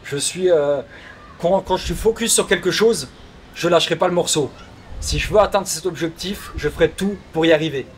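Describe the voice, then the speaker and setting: Man with a Luxembourgish accent, halting. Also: fairly high pitch, roomy sound, a little hiss or hum. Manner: halting, restarts phrases often. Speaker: vlogger answering fan questions. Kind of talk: casual Q&A chat.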